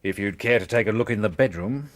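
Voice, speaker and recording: An actor playing a respectful, plain-spoken police inspector with an English accent in a radio drama, stolid and deferential. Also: male voice, fairly low pitch, quick pace, close to an everyday voice. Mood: businesslike.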